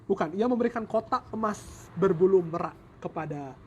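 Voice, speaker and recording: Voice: mid-range voice, moderate pace, darkish tone, lo-fi sound. Playful storyteller in a noisy recording. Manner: playful, joking asides and self-corrections. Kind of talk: informal improvised folk tale storytelling. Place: at home. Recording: noisy home recording with ambient sound.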